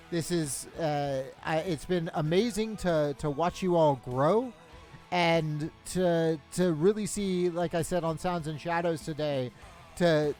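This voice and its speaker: A man hosting a casual interview show, rambling with many fillers and restarts. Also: a little high. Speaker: podcast host.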